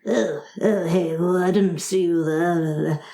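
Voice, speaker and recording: A woman's voice: fairly low pitch, steady tone, slow, warm tone. A woman voicing a sleepy, muddled old wise man in a tabletop game, welcoming and repeating himself. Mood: drowsy welcome. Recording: roomy echo.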